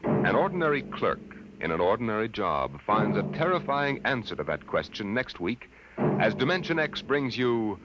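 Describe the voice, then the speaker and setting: A man hosting a 1950s radio show, giving a dramatic teaser in an ominous, measured way. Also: on the low side, big pitch swings. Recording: muffled, very noisy old radio broadcast.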